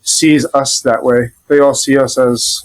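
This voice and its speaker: A man's voice: medium pitch, steady tone, rough recording. Easygoing man with a Rhode Island accent. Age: adult father. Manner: easygoing, loosely rambling with many pauses. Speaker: podcast guest. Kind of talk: casual conversational storytelling.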